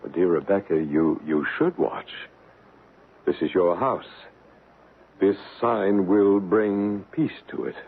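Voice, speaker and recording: Earnest psychic investigator, tinny recording. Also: male voice, deep voice, animated, exaggerated voice. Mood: soothing, earnest. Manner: measured, explanatory. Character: earnest male psychic investigator, soothing and solemn. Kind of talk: 1970s radio drama acting. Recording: noisy, phone-like 1970s radio recording.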